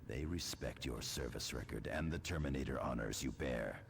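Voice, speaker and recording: An actor playing a blunt, grim veteran space marine sergeant in a video game, gravelly and hushed, speaking in measured, terse phrases. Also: male voice, rumbling low, a little hiss or hum. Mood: challenging. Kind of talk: scripted video game acting.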